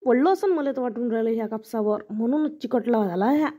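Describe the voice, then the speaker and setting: Woman acting a high-voiced Varhadi farm woman, grumbling. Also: brisk, warm tone, breathy edge. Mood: plaintive. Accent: Varhadi Marathi. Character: grumbling, easygoing village woman picking cotton. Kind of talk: comic cartoon dialogue.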